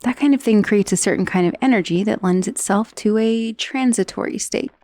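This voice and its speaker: A woman's voice: mid-range voice, fairly quick, mellow, dry recording, lightly acted. A woman playing a friendly, chatty dullahan, a magical severed head, in an ASMR roleplay with casual, hesitant asides. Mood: casual, musing. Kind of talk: ASMR roleplay acting, addressing the listener.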